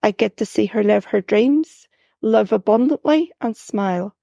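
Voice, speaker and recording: Gravelly woman reading her own story. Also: medium pitch, measured pace, no echo, clean studio sound. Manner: calm, reflective storytelling. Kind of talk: first-person story reading.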